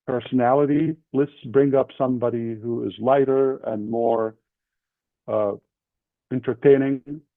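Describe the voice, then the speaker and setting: A man's voice: medium pitch, no room sound. Male session leader, emphatic motivational business delivery in short punchy phrases, tinny phone-band audio with a dry acoustic. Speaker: leader and main speaker of an online group session. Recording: thin phone-like call audio.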